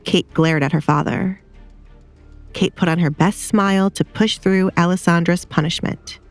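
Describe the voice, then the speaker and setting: A woman narrates a scripted romantic audio drama. Her voice sits fairly low, with music playing underneath. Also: steady tone, moderate pace, no echo.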